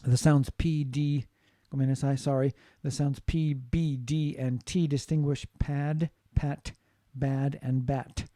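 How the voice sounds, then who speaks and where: A man's voice: medium pitch, flat delivery, slow, few pauses, warm tone, no room sound, studio quality. A man from the United States giving a classroom lecture on phonetics, explaining steadily and often asking "right?" to check in with students. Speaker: English teacher.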